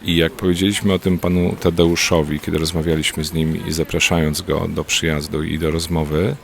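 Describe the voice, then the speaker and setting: A man's voice: low voice, little pitch movement, a little fast, vocal fry. A man answering interview questions off the cuff, hesitant and full of filler sounds. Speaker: theatre festival organizer, interviewee.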